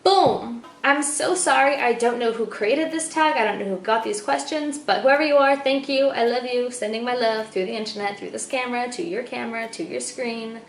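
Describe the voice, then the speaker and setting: A young woman born in El Salvador and raised in Turkey, chatting casually to camera in a bubbly, talkative way, swinging between loud and soft. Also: a little high, normal speed, creaky, few pauses. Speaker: vlogger. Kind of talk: casual vlog chat to camera. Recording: echoey room with faint noise.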